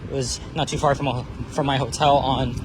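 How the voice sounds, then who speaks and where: A man's voice: mid-range voice, level tone, fairly quick. A man chatting casually while walking a city street, rambling and restarting his phrases as he talks. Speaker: walking tour vlogger. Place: busy city street outdoors. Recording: street traffic noise behind.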